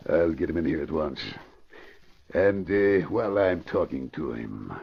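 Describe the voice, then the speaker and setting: Crooked middle-aged financier for a vintage radio crime play: American, low and husky, commanding, conniving, muffled old recording. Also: male voice, expressive, measured pace, exaggerated voice. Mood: instructing. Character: scheming middle-aged crooked investment boss, commanding and smooth. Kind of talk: 1940s radio crime drama acting.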